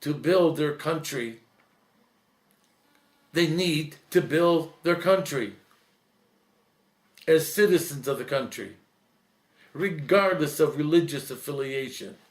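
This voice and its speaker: A man, a priest, giving an earnest, reflective talk, slowing and softening at emotional points. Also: a little high, roomy sound. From Lebanese heritage.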